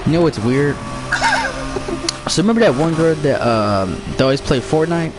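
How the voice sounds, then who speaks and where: A man's voice: fairly high pitch, animated, normal speed, loud music behind the voice, low-quality recording. A young man streaming a racing game, chatting loosely with friends and joking as he tells stories. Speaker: gaming streamer. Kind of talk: casual chat while playing a racing game.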